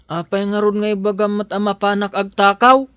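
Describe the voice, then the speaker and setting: A man's voice: high-pitched, completely flat delivery, fairly quick, fairly bright tone, smooth, dry recording, studio quality. A man playing a naive, plucky, poor odd-job worker in a radio drama, artless and protesting. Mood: indignant protesting.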